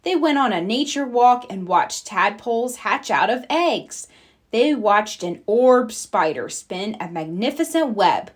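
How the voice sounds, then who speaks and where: A woman narrating a children's picture book in an expressive storytelling style. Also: a little high.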